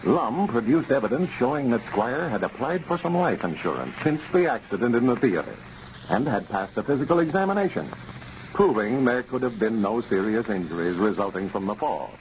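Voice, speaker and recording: Vintage radio announcer, man, scripted and emphatic, highly varied pitch, noisy old broadcast sound. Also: big pitch swings, brisk. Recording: scratchy, muffled 1930s radio recording.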